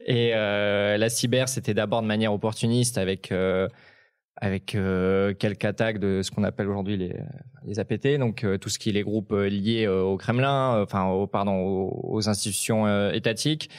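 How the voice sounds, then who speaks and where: A man's voice: lowish voice, fairly even intonation, moderate pace, clean tone. A young man of Ukrainian origin, a tech journalist on a talk show panel, speaking hesitantly with many fillers. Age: young adult.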